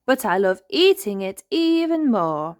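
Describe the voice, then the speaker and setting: Woman doing a gushy little mouse girl, higher voice, super animated, storybook style. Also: unhurried. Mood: delighted. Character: excited, cheese-loving young girl mouse.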